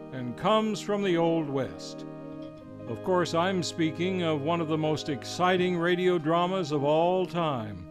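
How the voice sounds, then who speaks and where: An American man narrating as an old-time radio announcer, with a theatrical, grandiose delivery. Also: a little high. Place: radio studio.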